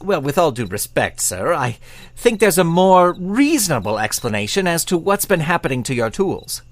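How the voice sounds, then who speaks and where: A plucky young boy detective in an audio drama. He speaks earnestly and eagerly, with swooping, animated intonation. The recording is dry. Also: fairly high pitch, normal speed, no room sound. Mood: determined.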